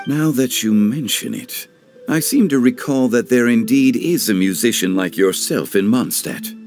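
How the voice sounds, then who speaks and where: A man playing a composed, scholarly gentleman in a video game dub, speaking in a formal, measured, courteous way. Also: mid-range voice, expressive, soft background music. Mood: courteous, wry.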